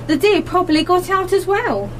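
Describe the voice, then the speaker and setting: A woman playing a fond, concerned dog owner in an amateur radio play, earnest and expressive. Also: very high voice, moderate pace. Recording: noisy live amateur radio broadcast recording.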